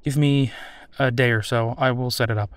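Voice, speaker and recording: A man's voice: medium pitch, steady tone, normal speed, dry recording, lightly acted. A man playing a military captain in an audio drama interview, casual and wry, with a conversational, probing way of talking. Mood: assuring.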